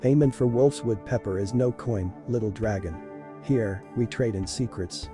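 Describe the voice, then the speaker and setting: A man playing a sly wolf-folk merchant in a fantasy audio drama, cryptic and measured. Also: mid-range voice, music in the background, close to an everyday voice.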